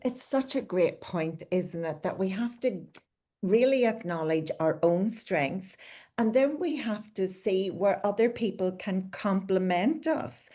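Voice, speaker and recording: A woman's voice: mid-range voice, animated, measured pace, mellow. A woman hosting an interview podcast, asking questions and adding long, affirming remarks of her own. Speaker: podcast host.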